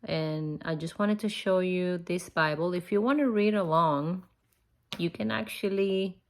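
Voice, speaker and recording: A middle-aged woman speaks English with a foreign accent in a casual, reflective devotional style. Her voice sits a little low and has a lot of creak. She takes her time, talking very slowly and earnestly. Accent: non-native English accent. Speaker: host of a Bible-reading vlog. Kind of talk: casual personal devotional talk to viewers.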